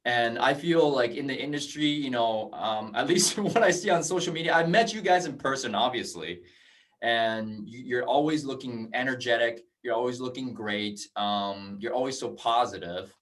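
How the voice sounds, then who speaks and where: A man's voice: medium pitch, moderate pace, a bit bright, distant mic. A friendly man in his forties hosts a casual interview over a video call. He rambles and restarts his sentences. His voice sits a little back from the microphone. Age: 40s. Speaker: host.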